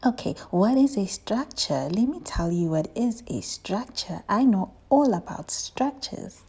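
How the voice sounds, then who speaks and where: A woman's voice: mid-range voice, animated, a little slow, dark tone, slightly rough. A woman voicing an eager, chatty dragon doctor puppet, teaching little children and often repeating words as she explains. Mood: eager, instructive. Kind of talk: educational puppet show for children.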